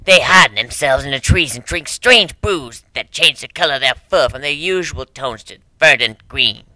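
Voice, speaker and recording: Guy doing tough old cat-tribe granny elder, explains things steadily, sharp tone, dry audio, fantasy tale. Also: mid-range voice, lively intonation, moderate pace, no echo, poor audio. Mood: informative.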